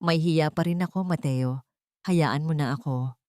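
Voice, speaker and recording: A woman's voice: low-pitched, unhurried, mellow, dry recording, studio quality. A woman voicing a proud, wounded young woman pilot who speaks in an anguished, pleading way.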